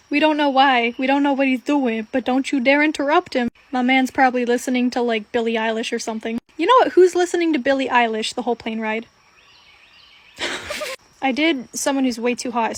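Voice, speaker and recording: A young woman giving casual, improvised commentary in a giggly, slangy way, as a video creator. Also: sits high.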